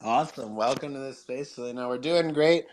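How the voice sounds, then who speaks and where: A man's voice: medium pitch, a little fast, slight background noise, rough recording. Man, live audio room host, upbeat casual talk, encouraging, slangy, mid-range voice. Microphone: live online audio room.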